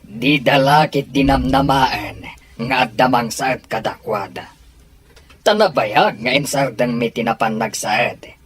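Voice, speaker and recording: A man's voice: mid-range voice, expressive, unhurried, fairly bright tone, slight background noise. A man acting a defensive henchman in an audio drama, protesting as he promises to get the job done. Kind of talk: Ilocano radio-style audio drama acting.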